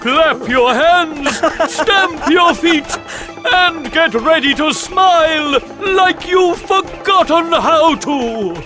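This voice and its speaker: A man's voice: very high voice, lively intonation, extremely slow, full character voice. A man voicing a showman circus ringmaster who announces in a grand, rousing way. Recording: game music behind.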